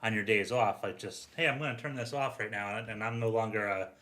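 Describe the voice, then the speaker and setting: Podcast host, man from New York, low bright voice, loose rambling chat. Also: steady tone, a little fast. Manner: casual, rambling, lots of "like".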